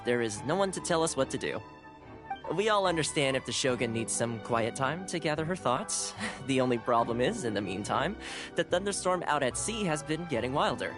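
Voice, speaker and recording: An easygoing young retainer from a video game, played by a man. His delivery is animated, polite and reassuring as he explains things conversationally, with clear music behind him. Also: mid-range voice, lightly acted. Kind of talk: video game dialogue acting.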